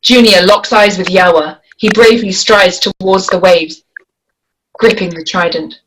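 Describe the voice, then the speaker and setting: A woman's voice: lowish voice, steady tone, unhurried, fairly bright tone, slight background noise, poor audio. A woman reading stage directions as scripted narration for a screenplay.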